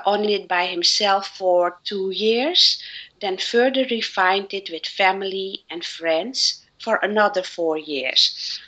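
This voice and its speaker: A middle-aged woman from Suriname whose first language is Dutch, speaking as a guest on personal development and pausing often to find her words. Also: medium pitch, pushed and bright, slightly rough, poor audio. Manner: earnest, with frequent fillers and restarts. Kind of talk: interview answers.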